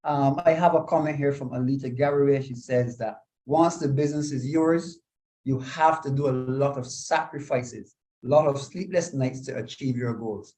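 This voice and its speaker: A man's voice: medium pitch, moderate pace, warm tone, slight background noise. A man moderating a live online panel on a video call, courteous and a little apologetic as he reads out viewer comments.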